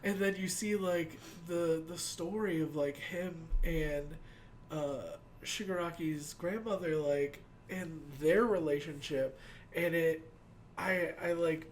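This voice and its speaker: A man's voice: on the high side, takes it slowly, a little hiss or hum, rough recording. A young man hosting an anime reaction at home, stuffed up from crying and rambling with lots of "like.". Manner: rambling, fills talk with "like". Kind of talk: emotional casual reaction chat. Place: small home room with shelves of figures.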